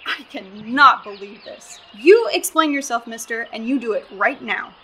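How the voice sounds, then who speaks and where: A woman's voice: on the high side, animated, normal speed, sharp tone, a little hiss or hum. A young woman with an American accent in a radio play, acting a sarcastic, exasperated hiker. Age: young adult. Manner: sarcastic, incredulous.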